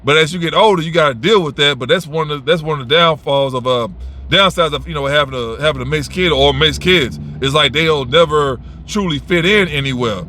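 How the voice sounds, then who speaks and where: A man's voice: a little high, a little fast, sharp tone, slight background noise. A man giving advice in a casual, unscripted monologue, blunt and rambling, swearing freely and restarting his sentences.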